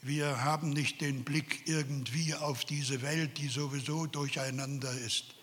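A man's voice: a little high, fairly even intonation, a little slow, fairly bright tone, in a large hall. An older man preaching a sermon, earnest and emphatic, speaking directly to his listeners. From Carpathian Ukraine.

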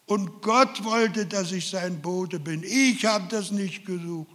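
Older male preacher, slow and earnest, slightly high voice, reverberant hall. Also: fairly bright tone. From Carpathian Ukraine. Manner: earnest, emphatic, addresses listeners directly. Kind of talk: sermon.

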